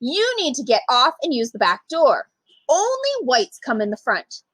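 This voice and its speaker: Hostile storybook bus driver, a man played by a woman reader. Bossy, scolding, high, slightly husky. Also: sing-song, moderate pace, a bit bright, dry recording, heavily acted. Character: gruff, hostile male city bus driver.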